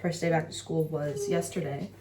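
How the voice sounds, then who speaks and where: Young adult female, American accent, slightly low register; casual student-vlogger delivery. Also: steady tone, moderate pace, noisy background. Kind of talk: casual vlog chat with voice-over recaps.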